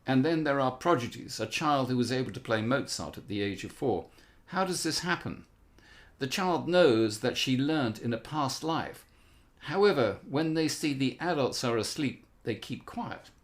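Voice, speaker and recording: A man's voice: medium pitch, lightly acted. A man reading the part of an opinionated, eccentric aunt from a storybook, lecturing emphatically.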